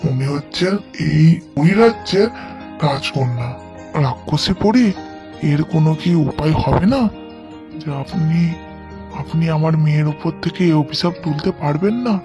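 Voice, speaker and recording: A man's voice: a little high, animated, measured pace. A man playing a polite, deferential king and father in a fairy-tale cartoon. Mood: pleading. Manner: submissive, polite. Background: music.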